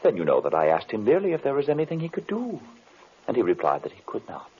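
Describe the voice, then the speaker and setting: An actor playing a wary, defiant magician hero in old radio horror, speaking in a formal, solemn, theatrical way. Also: male voice, medium pitch, wildly swooping pitch, fast talker, slight background noise. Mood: resigned. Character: defiant, wary male magician, sworn enemy of the villain. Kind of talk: 1930s radio horror acting. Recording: muffled vintage radio recording.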